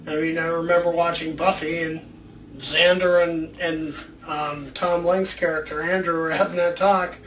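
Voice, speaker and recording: A man's voice: on the high side, level tone, moderate pace, fairly bright tone. A man co-hosting a casual video chat, rambling informally and joking along the way. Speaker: co-host of a video show about movie ideas. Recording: roomy, distant mic with background noise.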